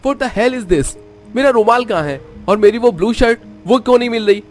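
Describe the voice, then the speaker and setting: A man's voice: extremely high pitch, expressive, moderate pace, faint music behind. A man playing an angry, bossy rich young husband in a cartoon, snapping and demanding. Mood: furious. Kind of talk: Hindi cartoon story dubbing.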